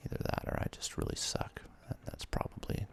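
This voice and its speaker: A man giving ASMR let's play commentary in a breathy, gravelly whisper, close to the microphone, with relaxed, self-deprecating asides. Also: fairly quick. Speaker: host of a gaming ASMR series.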